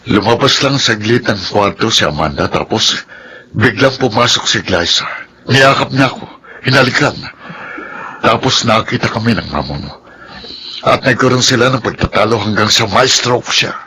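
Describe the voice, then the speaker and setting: A grave, accusing middle-aged stepfather with a Filipino accent. The voice is on the low side and forceful, in radio drama style, over light background noise. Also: animated, moderate pace. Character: grave middle-aged stepfather, earnestly blaming his stepdaughter.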